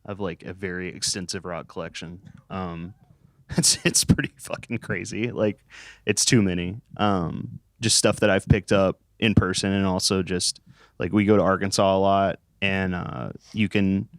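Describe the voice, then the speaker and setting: A man's voice: deep voice, steady tone, normal speed, gravelly, no echo. A young man in a band interview, joking around, swearing freely and laughing a lot. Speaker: band member. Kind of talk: casual interview chat.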